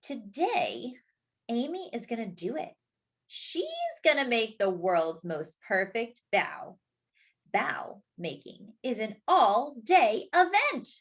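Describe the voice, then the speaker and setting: A woman with a high, lively voice reads a picture book aloud to young children. She takes her time, lingering on important words with exaggerated emphasis. Between sentences she adds short, simple explanations for her listeners. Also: slow pace, highs cut off. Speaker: children's story time reader and host.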